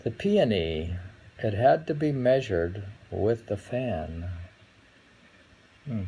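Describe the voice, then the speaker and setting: A man reading haiku aloud casually, musing and halting, murmuring to himself between poems. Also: lowish voice, expressive, warm tone, slightly rough. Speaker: reader of a haiku book. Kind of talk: casual poetry read-aloud with musing commentary.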